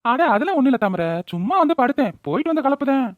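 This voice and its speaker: A woman's voice: sits high, very animated, rapid-fire, dry recording. A woman voicing a mild, tired, kindly older father-in-law in a cartoon, with a thin, narrow-band sound. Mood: weary. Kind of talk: cartoon voice-over dialogue.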